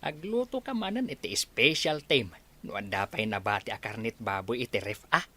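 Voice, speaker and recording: A man playing a good-humoured elderly father and husband in a radio drama, expressive, with an Ilocano accent. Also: fairly low pitch, moderate pace. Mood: jovial, pleased.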